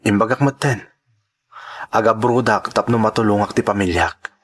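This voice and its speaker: A man's voice: fairly low pitch, moderate pace, a bit bright. A man with an Ilocano accent playing an earnest, devoted young son from a poor family in a radio drama. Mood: tender, pleading.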